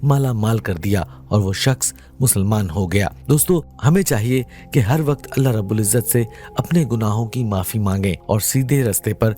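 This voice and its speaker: A man's voice: lowish voice, few pauses, warm tone. A man reading a scripted religious moral story in an earnest, dramatic way, addressing his listeners directly. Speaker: narrator of religious moral stories. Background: background music.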